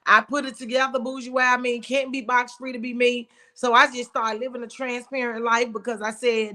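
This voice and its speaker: A woman's voice: fairly high pitch, bright, forceful tone. A woman hosting a talk show, speaking emphatically and openly with a big voice. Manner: emphatic, outspoken. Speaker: host. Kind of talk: casual chat.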